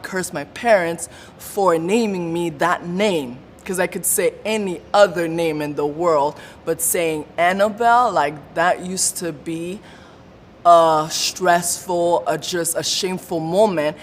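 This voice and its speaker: Woman, lowish voice, unhurried testimony, stutters with blocks and repetitions; reverberant room, faint rumble. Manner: stutters with repeated sounds, blocks and pauses. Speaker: support group member sharing her story. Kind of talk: personal testimony.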